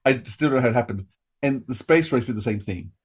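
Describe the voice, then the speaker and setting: A man co-hosting a podcast, giving a casual, enthusiastic review in a halting, effusive way. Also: mid-range voice, expressive, husky.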